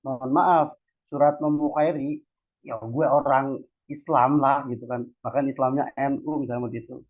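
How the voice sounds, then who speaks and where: A man's voice: medium pitch, fairly even intonation, normal speed. A man from Central Java gives an informal lecture, chatty and slangy, often checking that listeners follow. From Cilacap, Central Java, Indonesia. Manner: conversational, repeatedly adds checking tags while explaining. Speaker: academic discussion host and speaker. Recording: tinny phone-like online audio.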